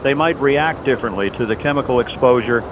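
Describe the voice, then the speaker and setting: A man playing an earnest, steadfast overnight radio host in a scripted disaster drama, speaking in solemn, reassuring, deliberate phrases. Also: lightly acted. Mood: worried, uncertain. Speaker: late-night radio host in a fictional broadcast. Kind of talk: scripted radio drama monologue, emergency broadcast. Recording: dull lo-fi audio, loud outdoor rumble.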